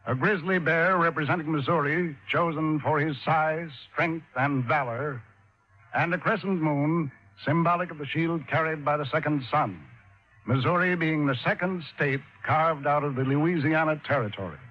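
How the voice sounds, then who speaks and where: A man with a fairly high voice works as a radio announcer. He reads formal scripted narration on a dull, old-time broadcast recording. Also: normal speed.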